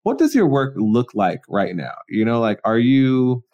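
A man's voice: medium pitch, big pitch swings, a little slow, a little mellow, muffled recording. A man interviewing a guest, asking questions hesitantly and rephrasing them as he goes. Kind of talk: casual interview.

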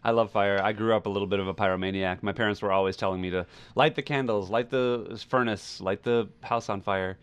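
A man's voice: on the low side, fairly even intonation, brisk, hardly any pauses, clean tone. A man co-hosting a podcast, talking casually and telling anecdotes in a conversational way. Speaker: podcast co-host. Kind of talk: casual chat and personal anecdotes.

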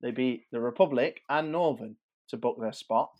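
A man's voice: medium pitch, normal speed, a little mellow, lo-fi sound. A man in his thirties chatting informally and a little hesitantly as a podcast host previewing sport. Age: 30s. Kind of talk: casual football preview chat.

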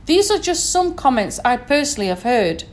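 A woman with a Sheffield accent speaks in a fairly high voice, talking earnestly to her listeners like an educator. She keeps checking in with a quick "okay?" as she works through her points. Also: very animated. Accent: Sheffield English. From Sheffield, northern England. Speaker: educator giving a talk. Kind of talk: informal recorded talk to listeners.

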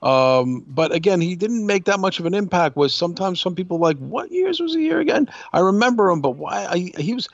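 A man's voice: sits high, sing-song, fairly quick, hardly any pauses, no room sound, highs cut off. A middle-aged man co-hosting a podcast, giving casual, informed commentary in conversation. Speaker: podcast co-host. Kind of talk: casual chat, wrestling history commentary.

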